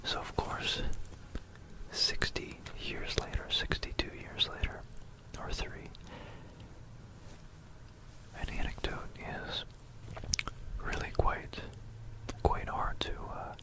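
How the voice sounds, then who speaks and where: A person narrating history in a breathy ASMR whisper, close to a binaural microphone, in a hesitant, meandering way that often repeats phrases. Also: takes it slowly, poor audio.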